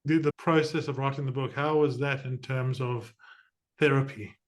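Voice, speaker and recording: A middle-aged man from southern Africa who hosts a podcast, explaining things with energy and quick asides. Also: medium pitch, steady tone. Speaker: podcast host. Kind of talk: conversational interview.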